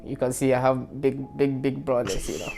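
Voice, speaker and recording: Man, around thirty, Ghanaian accent, interview guest, casual, mellow tone, faint background music. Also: mid-range voice, moderate pace, creaky.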